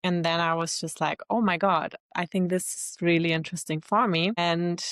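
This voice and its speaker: A woman in her thirties, talking in a fairly low voice about her own path. She sounds reflective and laughs easily. The sound is dry and close to the mic. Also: fairly even intonation, moderate pace, a bit bright, no room sound. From Germany. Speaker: guest, LinkedIn expert. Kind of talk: casual interview chat.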